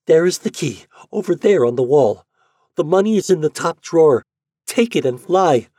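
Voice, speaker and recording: A man voicing a young peasant girl, pleading high and urgent in an audiobook. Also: expressive, normal speed, mellow, dry recording. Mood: urgent whisper.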